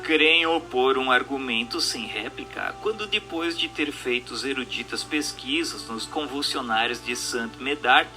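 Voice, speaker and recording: A man's voice: medium pitch, pushed and bright, low-quality recording. A man with a Brazilian accent reads a scripted doctrinal text as a narrator, in a formal, declamatory and rhetorical manner. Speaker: narrator of a spiritist book reading. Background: music.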